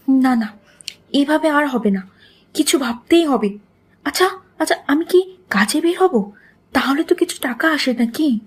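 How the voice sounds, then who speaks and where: A woman playing an anxious, practical village wife in a cartoon moral tale, fretful and questioning. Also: sits high.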